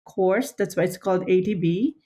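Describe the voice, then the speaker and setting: A woman's voice: lowish voice, moderate pace, dark tone. A woman in her fifties or sixties with a Filipino accent, a native Tagalog speaker, chatting casually about herself as an interview guest. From Philippines. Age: 50s or 60s. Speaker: podcast guest, nurse director. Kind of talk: casual autobiographical chat.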